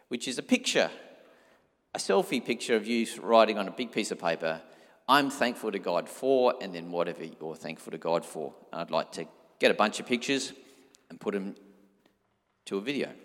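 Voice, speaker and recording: A man with a strong, loud voice, preaching an informal, conversational sermon with an emphatic, urging manner. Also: fairly low pitch. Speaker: pastor. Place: church hall, amplified by sound system. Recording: echoey hall with long reverb.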